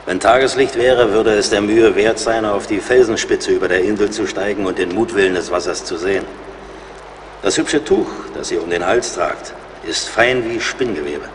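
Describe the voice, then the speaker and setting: A man's voice: low voice, lightly acted. A man playing a middle-aged, wry and watchful frontier scout in a German audio drama. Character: seasoned frontier scout, wry, plain-spoken, watchful, loyal. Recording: reverberant, noisy outdoor-like ambience.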